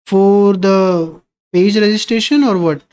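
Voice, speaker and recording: A man's voice: high-pitched, slow, a little mellow, smooth. A man hosting a live coding stream, reading viewer questions aloud and answering them in a casual, conversational way.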